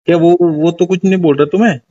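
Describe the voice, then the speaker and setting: Male, fairly high pitch, informal phone chat, muffled lo-fi call recording. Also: fairly even intonation, quick pace, warm tone. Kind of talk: casual private phone conversation.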